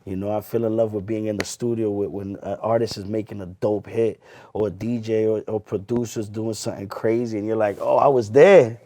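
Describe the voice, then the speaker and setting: A man in a casual interview telling his story as a club hype man, animated and slangy, often repeating phrases. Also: fairly low pitch, fairly quick. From US, Lawrence Massachusetts. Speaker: guest, hype man and promoter.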